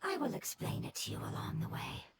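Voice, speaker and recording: A woman voicing a solemn, weary mystical creature in a video game, with a breathy, whispery voice and a reverent way of speaking. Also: a little fast, lightly acted. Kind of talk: video game dialogue acting.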